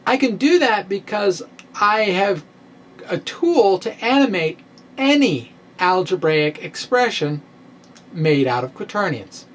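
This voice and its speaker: Slow-paced, wry middle-aged man reading theatrically. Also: sits high. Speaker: science blogger and presenter. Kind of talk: dramatic reading of a science blog. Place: small indoor room with bookshelves. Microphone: microphone on a stand, close in front.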